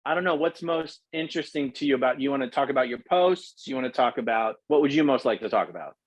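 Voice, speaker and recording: A man hosting an interview, asking relaxed, curious questions. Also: mid-range voice, fast talker, dry recording. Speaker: host. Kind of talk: casual interview over video call.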